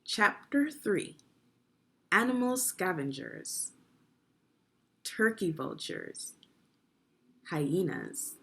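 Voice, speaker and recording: Slow-paced woman reading aloud for children. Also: mid-range voice, very animated, pushed and bright. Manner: deliberate, clearly phrased, guiding young listeners with questions. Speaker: teacher narrating a children's read-along video. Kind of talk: instructional read-aloud of a children's nonfiction book.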